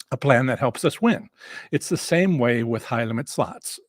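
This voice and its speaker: A man with a slightly rough, husky voice, worn from talking, hosting a casual instructional live stream in a halting, rambling way with repeated restarts. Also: mid-range voice, animated, dry recording, clean studio sound. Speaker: host and instructor of a live stream on slots strategy.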